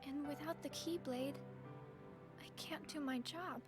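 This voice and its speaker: Game role: gentle, troubled teen girl fighter, high voice, hesitant, loud music behind. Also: fairly even intonation, a little slow. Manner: hesitant, earnest. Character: gentle, anxious teenage girl fighter, earnest and downcast. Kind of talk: English video game dub acting.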